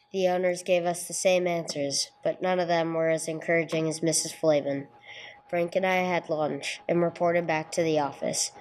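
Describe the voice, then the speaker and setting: A police sergeant from a radio crime drama, played by a woman, speaks in clipped, businesslike lines. The voice sits fairly low and stays matter-of-fact, whether narrating the case or questioning. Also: a little mellow, close to an everyday voice, low-quality recording. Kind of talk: 1950s police radio drama acting, amateur church production.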